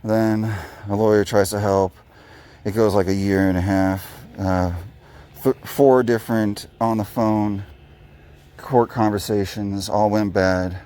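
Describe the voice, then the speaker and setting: A man in his thirties with a raspy, low voice, talking candidly and rambling with many restarts while doing yardwork outdoors. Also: fairly even intonation, slow pace, warm tone. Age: 30s. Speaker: vlogger. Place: outdoors in a yard.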